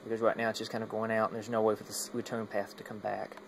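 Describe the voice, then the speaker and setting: A man's voice: on the low side, monotone, quick pace, gravelly, noisy background. A man casually demonstrating his radio gear in an unscripted, rambling way, often hesitating and trailing off mid-thought. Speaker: amateur radio hobbyist demonstrating equipment.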